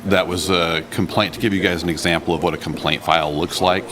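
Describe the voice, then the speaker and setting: A man's voice: sits low, steady tone, fast talker, a crowd in the background. A matter-of-fact man explaining procedure in a meeting, as an official would.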